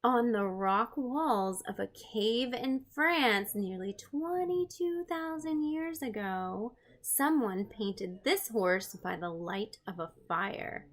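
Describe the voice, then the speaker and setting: A woman hosting an art read-aloud, expressive and playful, with chatty, self-deprecating asides to her viewers. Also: on the high side, slow, hardly any pauses. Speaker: host, art teacher reading a picture book aloud.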